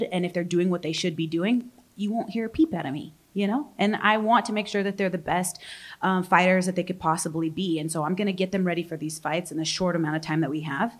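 A young adult woman speaks in a low register, giving frank, chatty answers as a fighter in a press interview. She talks freely and laughs easily between points. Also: fairly bright tone. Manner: candid and talkative, laughs easily. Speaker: professional fighter being interviewed.

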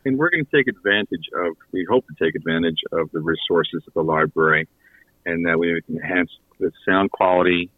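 A man with a deep voice speaks as a guest in a relaxed radio conversation. The recording is very dry, with no room around him. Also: fairly quick, no room sound, narrow, tinny audio. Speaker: podcast creator and guest. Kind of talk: casual radio interview.

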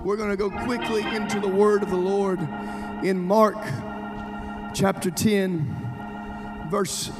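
A hoarse man preaching as youth pastor at a live church service, fervently calling out to the congregation. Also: high-pitched, level tone, takes it slowly. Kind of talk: Pentecostal-style preaching. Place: church sanctuary. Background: organ music.

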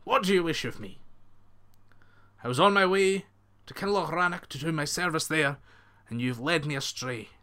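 Man as firm storybook minister, wry, commanding, measured, bright forceful voice. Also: fairly high pitch, voice pushed up. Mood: affronted, firm. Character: stern, wry young Scottish minister, reasonable but commanding.

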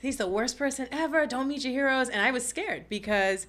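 Casting: Bahamian woman in her twenties, bright crisp tone, animated storyteller. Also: sits high, a little fast. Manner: animated storytelling, laughs often. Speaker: guest. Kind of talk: casual chat.

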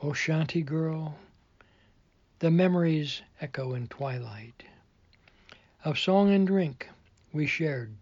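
A man reciting his own poetry in a measured, expressive way, with a husky, breathy voice. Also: a little high, no echo, dull audio. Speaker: poet reading own work.

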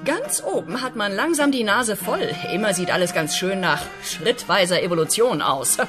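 A woman's voice: mid-range voice, lively intonation, normal speed, music in the background, natural voice. An actress playing a demanding, sarcastic female boss of a heavenly agency in a comic radio play. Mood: stern, pushy. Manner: commanding, sarcastic.

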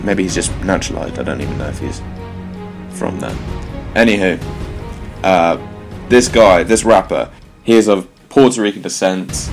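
A British man hosting a solo music commentary show, talking in a wry, digressive way with jokey asides. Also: deep voice. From United Kingdom. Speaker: podcast host.

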